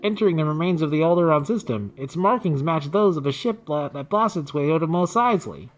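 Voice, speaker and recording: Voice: medium pitch, lively intonation, a little fast, lightly acted. A reader playing a clipped, formal intercom officer at an amateur space film table read. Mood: reporting.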